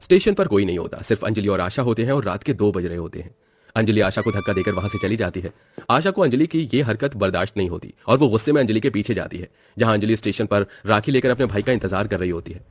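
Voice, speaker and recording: A male narrator reads a scripted horror story. His voice is fairly low and moves quickly. The sound is dry and close. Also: expressive, no room sound.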